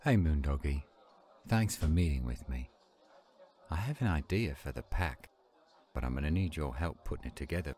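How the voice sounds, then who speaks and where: A man acting an earnest young werewolf pack leader in a roleplay audio drama, speaking in a measured way. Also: a bass voice, lively intonation, a little mellow. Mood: friendly, grateful.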